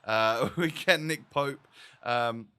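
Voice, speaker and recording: A man's voice: medium pitch, slow, pushed and bright, clean tone. A man hosting a gaming video with casual, chatty, rambling and self-deprecating commentary.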